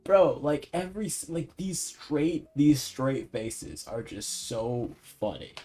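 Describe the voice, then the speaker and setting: A man's voice: mid-range voice, lively intonation, slow, dark tone. A young man giving casual, amused playthrough commentary as a gaming streamer, full of slang and swearing. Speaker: gaming streamer reacting to a game. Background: faint game music.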